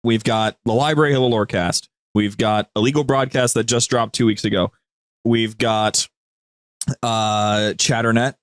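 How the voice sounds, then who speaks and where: A man's voice: on the low side, steady tone, normal speed, no room sound. A man chatting casually and jokily as a podcast guest. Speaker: podcast guest, media group co-host. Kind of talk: casual jokey chat.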